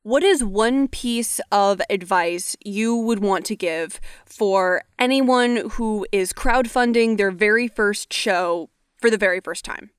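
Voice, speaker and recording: A woman's voice: mid-range voice, slow pace, hardly any pauses, clean tone, no room sound, clean studio sound. A woman hosting an advice podcast, moving between scripted narration and interview talk. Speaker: podcast host.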